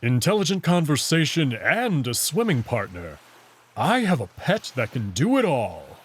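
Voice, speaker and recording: A man voicing a self-assured, teasing male wolf character from a visual novel, casual and gently bossy. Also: mid-range voice, wildly swooping pitch, unhurried, slightly rough, full character voice. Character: confident, teasing male anthropomorphic wolf, commanding yet caring.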